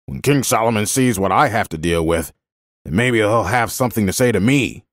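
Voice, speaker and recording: Guy doing a stingy bullying farmer for an audio story, ranting, very animated, dry recording. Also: deep voice, moderate pace, no room sound. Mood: seething. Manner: ranting, scornful. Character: angry, bullying, miserly male vineyard owner. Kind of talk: dramatised storybook narration.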